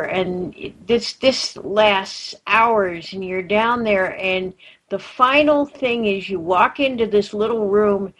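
A woman in a casual group book discussion, talking deliberately and pausing between phrases. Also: medium pitch, rough recording. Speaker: book club participant.

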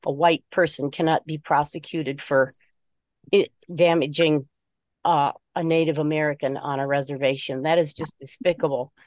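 A woman giving her opinions in an informal book discussion, speaking haltingly and deliberately as she searches for words. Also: low-pitched, fairly even intonation, a little mellow, no echo, low-quality recording. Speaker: book group member.

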